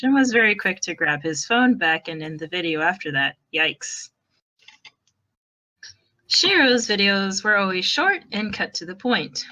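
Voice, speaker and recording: Bright-voiced woman narrating, slightly low pitch. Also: rough recording. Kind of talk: storytelling narration of fan fiction.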